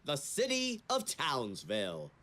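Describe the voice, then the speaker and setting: A man voicing a dramatic announcer in an animated cartoon. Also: a little high, slow, heavily acted. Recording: loud music over cartoon clip.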